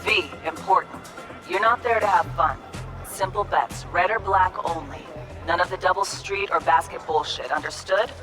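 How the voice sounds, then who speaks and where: An actress playing a commanding, terse covert operation handler in a video game. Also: female voice, lowish voice, normal speed, fairly bright tone, faint music behind, close to an everyday voice. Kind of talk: video game cutscene acting.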